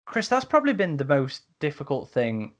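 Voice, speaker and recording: A man hosting a casual podcast discussion, speaking in a halting way and rephrasing as he goes. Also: a little high, huge pitch swings, a bit bright. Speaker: podcast host.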